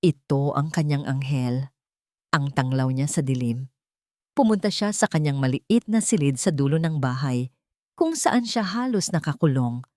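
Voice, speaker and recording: A woman narrates a dramatic scripted story in a fairly low voice. Her phrasing is measured and theatrical. The recording is dry and close, free of room sound. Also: lively intonation, darkish tone, no echo.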